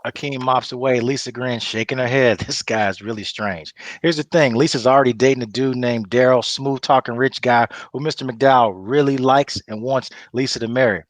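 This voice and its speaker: A man's voice: medium pitch, moderate pace, hardly any pauses, no echo. Man narrating, very close dry sound. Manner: informal, storytelling. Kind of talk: reading stage directions, casual narration.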